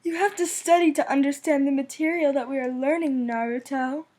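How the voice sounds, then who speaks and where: A young woman voicing a calm, studious teenage boy in a fan fiction reading, composed and matter-of-fact. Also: sits high, a little fast, heavy background noise, natural voice. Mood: patient.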